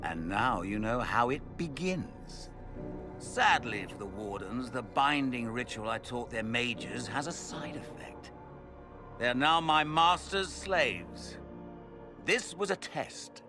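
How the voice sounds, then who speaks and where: An actor playing a smug, theatrical villain, a cultist mage lord with a pompous, mocking manner in a video game cutscene. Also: male voice, mid-range voice, lively intonation, slow, music in the background. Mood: gloating.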